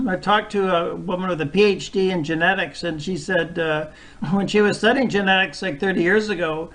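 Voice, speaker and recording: High-voiced man hosting a discussion. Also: steady tone, brisk, a bit bright, rough recording. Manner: rambling, loosely strung thoughts. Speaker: host. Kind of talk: casual discussion.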